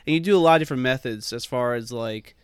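Chatty male host with a mid-range, smooth voice and a loose, filler-heavy style. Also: mid-range voice, fast talker, no room sound, clean studio sound. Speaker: podcast host, film director. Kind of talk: casual podcast chat.

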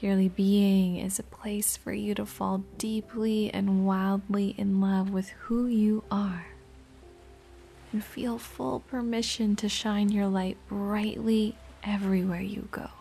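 Slow, breathy woman guiding a meditation. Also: mid-range voice, little pitch movement. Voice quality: soft, breathy, often near a whisper. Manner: gentle, measured, with long pauses between short phrases. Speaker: guided meditation host. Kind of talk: guided meditation, soft soothing narration. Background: music.

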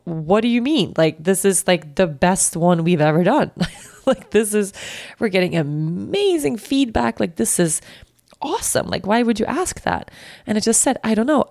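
A woman in her thirties from Sweden with a Swedish accent, hosting and talking candidly and reflectively, halting and restarting as she thinks. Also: mid-range voice, vocal fry, dry recording, clean studio sound. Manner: candid, halting, self-reflective with frequent restarts and soft laughs. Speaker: podcast host. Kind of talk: personal reflective monologue.

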